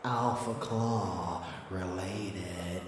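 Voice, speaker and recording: A man's voice: low-pitched, fairly even intonation, very slow, very echoey, in a large hall, distant mic, a little hiss or hum. A man playing a seductive, predatory vampire lover in an ASMR audio drama, murmuring intimately and sometimes sinking to a whisper. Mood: seductive, scheming.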